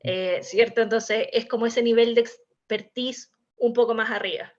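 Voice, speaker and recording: A woman's voice: a little high, steady tone, normal speed, dull audio. A woman with a Chilean accent, an in-house legal manager speaking on a panel in a discursive, explanatory way with many hesitations.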